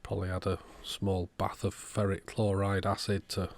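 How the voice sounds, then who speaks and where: Male, lowish voice, understated hands-on repairer talking through the work casually. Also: monotone, normal speed, gravelly. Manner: matter-of-fact, thinking aloud, understated. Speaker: electronics repairer presenting own channel. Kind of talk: casual repair walkthrough commentary. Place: indoors at a workbench.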